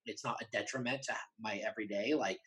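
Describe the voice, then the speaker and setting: A man's voice: on the low side, steady tone, fast talker, a bit bright, poor audio. A man speaking as an interview guest in a casual, candid, earnest way, often restarting and rephrasing his sentences.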